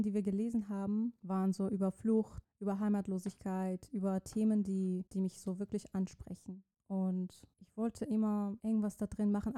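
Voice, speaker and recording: Young Iranian woman, dark tone. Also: medium pitch. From Iran. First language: Persian. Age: young adult. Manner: searching for words, many restarts. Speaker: interview guest, student writer. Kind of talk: casual interview answers.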